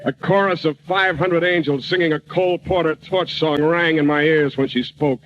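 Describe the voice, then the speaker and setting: An actor playing a cocky, wisecracking hard-boiled private eye, narrating haltingly and deadpan in a radio parody. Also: male voice, fairly high pitch, lightly acted. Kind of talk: old-time radio detective parody. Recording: muffled, noisy old radio broadcast.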